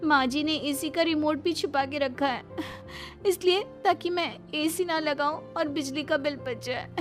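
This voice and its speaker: A woman acts out a meek young daughter-in-law from a cartoon story. Her voice is high and slow, plaintive and put-upon, over faint music. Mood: suffering, whiny. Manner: plaintive, whining complaint.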